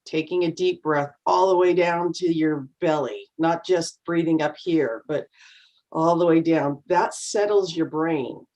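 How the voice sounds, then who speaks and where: A woman with a low, deep voice gives advice to English learners on a video call. She explains patiently, encouraging them to practice, and sometimes acts out a nervous speaker. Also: unhurried, a little mellow. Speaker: co-host and speaking coach. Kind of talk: online English class coaching.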